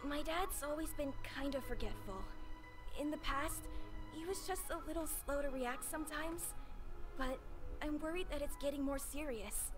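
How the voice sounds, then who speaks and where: Lady doing a worried little kid, a boy, high voice, video game with music behind. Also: moderate pace, close to an everyday voice. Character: worried little boy looking for his father.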